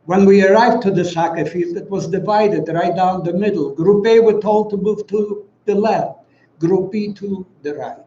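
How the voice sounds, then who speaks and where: A man of about ninety with a Polish accent gives a prepared commemorative speech in a solemn, deliberate, formal way, with emphatic pauses. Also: sits high, dark tone. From Poland. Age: around 90. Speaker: guest speaker, Holocaust survivor giving testimony. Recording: echoey, dull, distant, faint noise.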